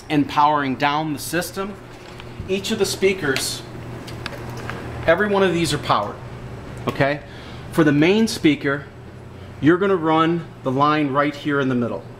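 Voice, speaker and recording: A man giving a casual, hands-on equipment walkthrough in a plain-spoken, explanatory way, repeating instructions as he goes. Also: on the high side. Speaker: audio equipment installer giving a tutorial. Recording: clearly noisy room background.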